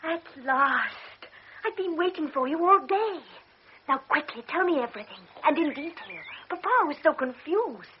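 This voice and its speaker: Eager young girl, high pitch, pressing questions, heavy hiss, muffled old broadcast. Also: animated, normal speed. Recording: dull old radio recording with loud hiss.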